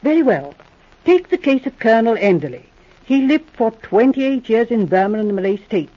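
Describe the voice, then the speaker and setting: A proper, earnest young woman secret agent in a 1940s radio drama, her voice a touch husky and full of lively rises and falls. She talks briskly and a little primly. It all comes through a dull, lo-fi old radio transcription. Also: fairly high pitch. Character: Miss Guest, sharp-minded young woman secret agent.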